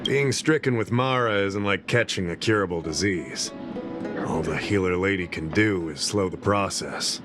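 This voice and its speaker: A composed male official from a fantasy video game, with a fairly low voice. He explains matters in a measured, earnest manner, like someone briefing a trusted ally. Music plays behind him.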